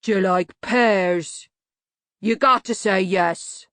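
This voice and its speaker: Woman doing a very slow, rumbling simple-minded brute. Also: a bit bright, no room sound. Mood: slow menace. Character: huge slow-witted childlike male brute. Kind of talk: audiobook character voice.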